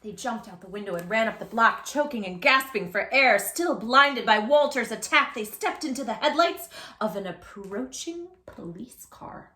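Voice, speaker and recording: A woman reading a picture book aloud for children in an animated, playful way, talking directly to young listeners. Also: on the high side, moderate pace, roomy sound. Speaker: children's storytime host.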